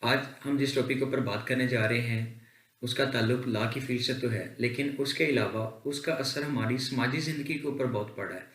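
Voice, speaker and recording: A man's voice: mid-range voice, moderate pace, a bit bright. A man with a Pakistani accent presents an explanation to viewers in a formal, earnest manner, as the host of a legal advice channel. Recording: echoey, reverberant room.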